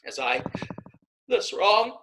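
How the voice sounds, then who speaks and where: A woman's voice: medium pitch, very animated, slow, fairly bright tone, slight background noise. A woman playing a pious, anguished young petitioner in a Shakespeare reading, solemn and emphatic. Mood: bitter, resigned.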